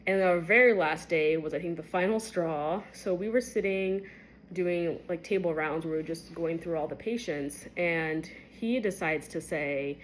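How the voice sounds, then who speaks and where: A woman's voice: moderate pace, slight background noise, low-quality recording. A woman in her twenties, a medical resident born in Kenya, candidly telling a personal story to camera with many fillers. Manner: candid, conversational, many fillers, rising pitch when recounting shocking moments.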